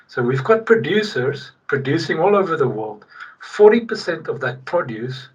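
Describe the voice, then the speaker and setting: A middle-aged man from South Africa, a guest in a casual podcast interview, talking in an animated, emphatic way with frequent restarts. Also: on the high side, normal speed, husky. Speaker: guest, logistics executive. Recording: distant, dull remote-call sound.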